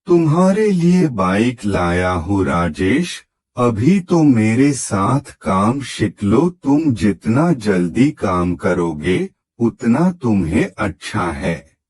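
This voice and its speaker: A man voicing a stern middle-aged merchant father in a cartoon moral story, lecturing his son in a measured, admonishing way. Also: lowish voice, big pitch swings, darkish tone, exaggerated voice. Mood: coaxing, advising.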